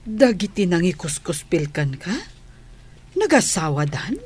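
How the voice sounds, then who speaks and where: A woman's voice: lowish voice, big pitch swings, a little slow, a little mellow, breathy edge, full character voice. A woman playing a sociable older village schoolteacher, chatty and exclamatory in an audio drama. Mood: gossipy concern. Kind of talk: Philippine audio drama acting.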